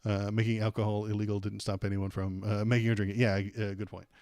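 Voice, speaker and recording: An American man hosting a casual political livestream, rambling and halting with repeated words, irreverent and sarcastic as he chats with viewers. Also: lowish voice, little pitch movement, a little mellow, no echo, clean studio sound. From United States. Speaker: livestream host. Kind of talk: casual political commentary, chatting with live viewers.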